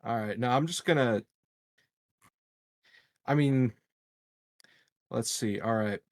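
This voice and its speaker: A man plays in a tabletop roleplaying game, talking casually with a joking, mock-innocent manner. His voice sits in a middle pitch, and the sound is very dry. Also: slow, no echo.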